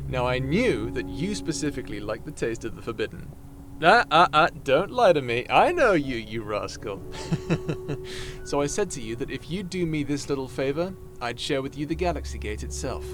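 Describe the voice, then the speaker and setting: A man playing an excitable, cheeky adventurer in an ASMR roleplay drama, animated and theatrical with a stammering, jokey delivery. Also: mid-range voice, moderate pace, lightly acted. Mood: chatty, cheeky.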